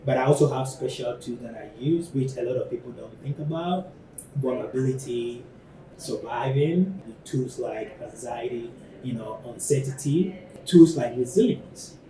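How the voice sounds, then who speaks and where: Ghanaian-accented man, mellow and reflective. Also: mid-range voice, slight background noise, poor audio. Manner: thoughtful, halting, many "you know" fillers. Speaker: ceramic artist. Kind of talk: reflective studio talk.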